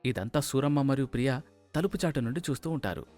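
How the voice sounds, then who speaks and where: A man's voice: mid-range voice, animated, fast talker, a little mellow, soft background music. A man reading scripted narration of a family moral story.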